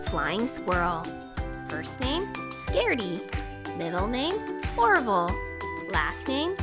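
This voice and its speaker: Woman, children's read-aloud, slow pace, very lively pitch, emphatic phrasing, kids' music behind. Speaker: children's story reader.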